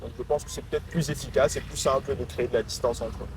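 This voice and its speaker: A man's voice: mid-range voice, steady tone, fast. A man in his twenties with a Cameroonian accent, giving articulate, reflective answers as an interview guest. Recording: noisy urban ambience behind voice.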